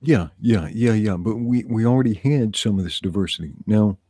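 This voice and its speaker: A man in his forties from the rural American South, chatting casually in a rambling, animated way and laughing often. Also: lowish voice, moderate pace, breathy edge, no room sound, clean studio sound. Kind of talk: casual chat.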